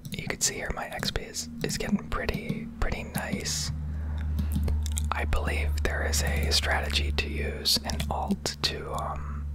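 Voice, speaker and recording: A woman doing ASMR commentary over gameplay, rambling and explaining in a whisper at a close microphone. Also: measured pace. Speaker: gaming video creator.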